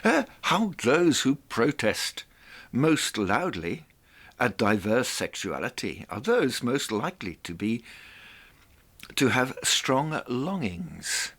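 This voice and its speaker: A man presenting a reflective monologue in a theatrical, deliberate way, with rhetorical asides. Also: mid-range voice, big pitch swings, fairly bright tone.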